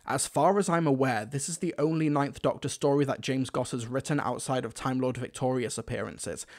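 A man's voice: mid-range voice, darkish tone. A man hosting a scripted video-essay review in an enthusiastic, emphatic manner. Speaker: reviewer, host.

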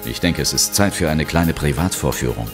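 Ghost hunter lead: extremely low, lively inflection, steady and reassuring, horror radio play. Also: male voice, brisk, over music. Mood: reassuring, resolute. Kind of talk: German horror audio drama, dialogue and first-person narration.

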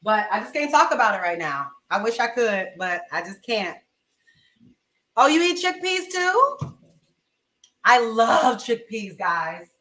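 A woman's voice: on the high side, big pitch swings, unhurried, pauses often, fairly bright tone, echoey room. A young woman chatting casually and slangily to viewers as she hosts a cooking livestream from her home kitchen. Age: young adult. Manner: informal, slangy, chatting to viewers while moving around. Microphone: webcam-style mic at arm's length or farther.

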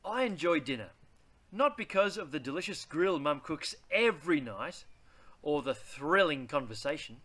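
A man, reading a children's picture book aloud in a deliberate, theatrical way. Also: fairly high pitch, expressive, a bit bright. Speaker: read-aloud host.

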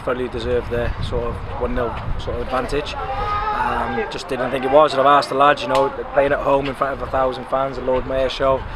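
A man in his thirties, a football club manager, giving a frank, animated post-match interview outdoors beside the pitch, with many "um"s and "you know"s. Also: a little fast, few pauses, over a noisy crowd. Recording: loud outdoor noise with passing traffic.

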